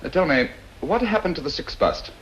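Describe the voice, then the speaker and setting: An actor playing a shrewd, courteous detective who questions people crisply and with authority in an old detective drama. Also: male voice, on the high side, big pitch swings, a little fast, fairly bright tone, muffled recording. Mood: brisk. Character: shrewd, commanding male private detective, courteous and probing. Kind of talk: old-time detective film acting. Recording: old soundtrack with background noise.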